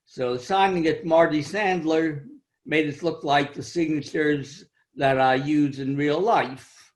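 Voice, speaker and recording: An older man with a high-pitched voice tells a wry, self-deprecating story about his own life in a club speech over a video call. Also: fairly even intonation, slow pace. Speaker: club speaker.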